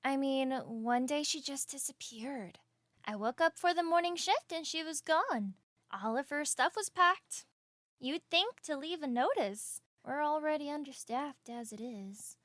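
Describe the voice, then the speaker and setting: Castle maid: young, outspoken gossip, high voice, audio drama, dry close sound. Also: female voice, expressive, a little slow, a bit bright, dry recording. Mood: gossipy.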